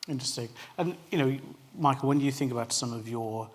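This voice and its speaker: A man's voice: mid-range voice, brisk. A man moderating a panel discussion, putting questions to the panelists by name. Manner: probing, addresses panelists by name.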